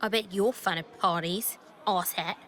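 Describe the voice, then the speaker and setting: Woman acting a brash, bright-voiced young rebel leader. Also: mid-range voice, moderate pace, lightly acted. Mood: cocky. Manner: blunt, cocky, taunting. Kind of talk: video game voice acting. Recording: game music behind the voice.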